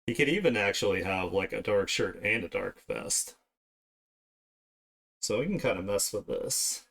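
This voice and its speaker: A man's voice: on the low side, lively intonation, moderate pace, vocal fry, a bit bright. A man chatting casually on a livestream as he works, thinking aloud and often trailing off mid-thought. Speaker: streamer, digital artist. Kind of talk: casual livestream chat while drawing.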